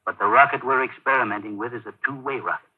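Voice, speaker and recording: A weary Air Force general in a 1950s radio play, voiced by a man. He speaks gravely and with command, in a medium-pitched voice. The recording is dull and lo-fi. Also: fast, close to an everyday voice. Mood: grave, urgent. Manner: grave, authoritative. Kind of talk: 1950s radio drama acting. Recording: dull old radio broadcast.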